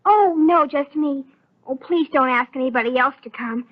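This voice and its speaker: A woman's voice: high-pitched, moderate pace, natural voice. An actress playing an eager, adoring teenage girl in an old radio soap opera, gushing and pleading. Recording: muffled old radio recording.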